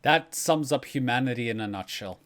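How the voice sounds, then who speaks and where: A man's voice: medium pitch, brisk, a bit bright. A man hosting a reaction video, explaining game lore in casual commentary.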